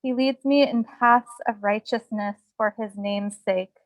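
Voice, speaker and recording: Slow, reverent woman reciting, higher voice. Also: steady tone, no room sound. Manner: measured, reverent phrasing. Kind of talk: solemn scripture recitation.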